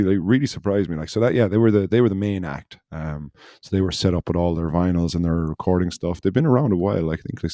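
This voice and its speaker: A man with a deep, mellow voice, hosting a podcast in easy, casual chat. He wanders through his story and circles back on himself. The sound is dry and close, with no room around it. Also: dry recording. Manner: rambling, loose storytelling that circles back on itself. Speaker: podcast host.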